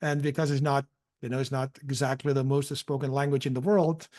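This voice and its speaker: A man's voice: medium pitch, level tone, fairly quick, a little mellow. A man from Catalonia speaking English with a Catalan accent, hosting an interview podcast over a video call. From Catalonia, Spain. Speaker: podcast host. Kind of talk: casual interview chat.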